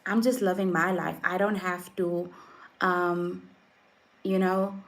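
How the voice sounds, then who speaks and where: A South African woman in her thirties, a lifestyle vlogger chatting casually on a livestream, soft-spoken with frequent ums and restarts. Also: fairly low pitch, little pitch movement, slow, poor audio. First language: Afrikaans.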